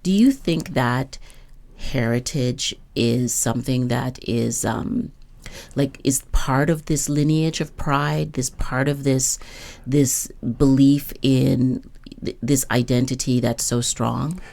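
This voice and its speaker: Very deep-voiced, creaky woman interviewer. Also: steady tone, warm tone. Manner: reflective, probing, builds questions slowly. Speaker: host, interviewer. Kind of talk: conversational interview.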